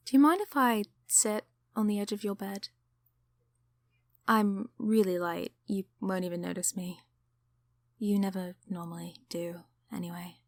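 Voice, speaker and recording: A woman playing a gentle, apologetic sleep paralysis demon in an ASMR roleplay, soft-spoken and often whispering reassurance. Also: medium pitch, dry recording. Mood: gentle, slightly sheepish. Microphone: close microphone.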